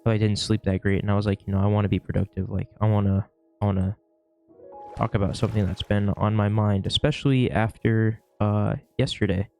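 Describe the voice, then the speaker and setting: A sick young man with a worn, gravelly voice, rambling casually through a vlog with lots of fillers and restarts. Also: lowish voice, flat delivery, fairly quick, dark tone, faint music behind. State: sick, losing his voice. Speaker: vlogger; shift manager at work. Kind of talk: casual talking-to-camera vlog.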